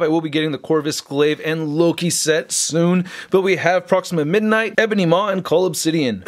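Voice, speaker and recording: Higher-voiced man, casual toy reviewer. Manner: expressive, informal, addresses viewers directly. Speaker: YouTube action figure reviewer, host. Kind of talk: casual, conversational product review commentary.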